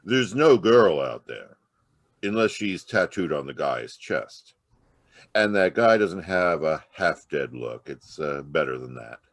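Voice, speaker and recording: A man as a very deep-voiced, smug police inspector. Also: big pitch swings, slow pace, no echo, studio quality, full character voice. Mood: smug, sardonic. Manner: taunting, sardonic. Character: smug, needling male homicide police inspector. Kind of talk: 1940s radio crime drama acting.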